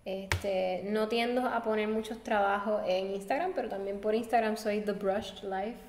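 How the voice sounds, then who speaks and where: A woman's voice: mid-range voice, steady tone, a little slow, darkish tone. Earnest woman in a reverberant room. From Puerto Rico. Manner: thoughtful, searching for words, earnest. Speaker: guest designer. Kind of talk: reflective interview answers. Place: seated at a table with coffee. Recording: roomy echo with long reverb.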